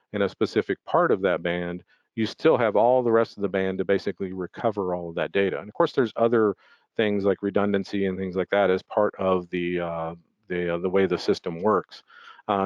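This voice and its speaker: A man with a fairly low voice walks through a product presentation in an explanatory, off-the-cuff way. He often pauses on ums and repeats small words. The sound is dry and close, with a dull, lo-fi webinar quality. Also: a little mellow, no echo. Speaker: product presenter for an audio equipment maker.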